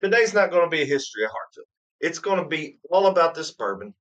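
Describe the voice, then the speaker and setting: A man's voice: fairly high pitch, sing-song, quick pace, fairly bright tone, lo-fi sound. A man hosting a bourbon tasting live stream, chatting eagerly and rambling through his stories. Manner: enthusiastic, rambling storyteller. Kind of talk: casual live-stream banter.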